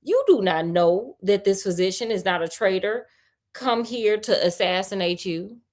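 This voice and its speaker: A woman's voice: mid-range voice, moderate pace, a little mellow, lightly acted. A woman storyteller voicing an envious male grand vizier from a storybook, speaking in formal, grave phrases. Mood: grave, scheming.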